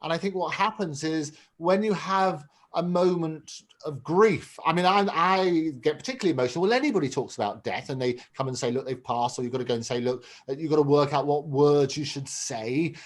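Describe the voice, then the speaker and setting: A man's voice: fairly high pitch, fairly quick, hardly any pauses. A man hosting a conversational interview over a video call. He sounds earnest and reflective, and he often repeats words as he starts a thought. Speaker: interview host.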